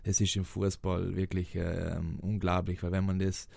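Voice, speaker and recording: A man's voice: low voice, little pitch movement, normal speed, vocal fry, darkish tone, dry recording. A young man from South Tyrol with a South Tyrolean German accent, answering interview questions hesitantly with many fillers and restarts. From South Tyrol, Italy. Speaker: interviewed footballer.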